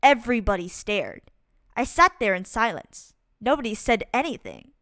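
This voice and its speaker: A woman reading a children's picture book aloud as a storyteller, in an expressive, deliberate and dramatic way. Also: on the high side, dry recording, studio quality. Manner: deliberate, dramatic delivery with acted-out exclamations. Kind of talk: expressive read-aloud storytime narration for kids.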